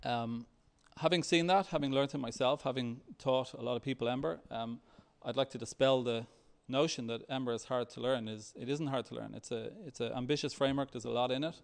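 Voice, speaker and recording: Man with creaky voice, halting tech presenter. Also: medium pitch. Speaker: software developer presenting at a tech meetup. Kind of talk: conference talk with live demo.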